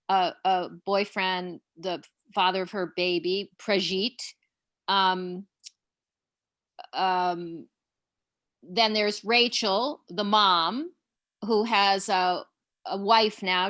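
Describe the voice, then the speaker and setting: A woman's voice: medium pitch, fairly even intonation, takes it slowly, pauses often, a bit bright, no room sound. A woman playwright answering audience questions on a video call, thinking aloud with many restarts. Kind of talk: casual Zoom Q&A chat.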